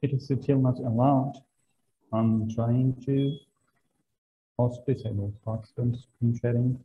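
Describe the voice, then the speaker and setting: A man's voice: medium pitch, steady tone, unhurried. A young man presenting at a conference over a video call, polite and hesitant. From Turkey. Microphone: wired earbuds on video call. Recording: muffled video call with faint noise.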